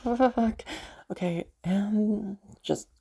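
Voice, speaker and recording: A woman playing an anxious, sweary frost mage in an audio roleplay, muttering hesitantly and haltingly. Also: mid-range voice, vocal fry, mellow, breathy edge, full character voice. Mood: flustered, anxious. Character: flustered, sweary young frost mage trying to protect a visitor.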